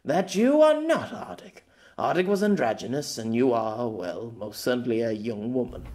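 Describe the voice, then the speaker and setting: A man's voice: medium pitch, big pitch swings, moderate pace, a little mellow, natural voice. A man playing an irritable, haughty middle-aged novelist in an audiobook, pompous and exasperated in manner. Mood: weary. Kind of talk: audiobook character acting.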